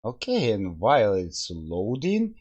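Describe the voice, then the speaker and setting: A man's voice: lowish voice, huge pitch swings, slow pace, lo-fi sound, a loud crowd. A man giving casual, enthusiastic gameplay commentary as the host of a game review. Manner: enthusiastic, exclaiming.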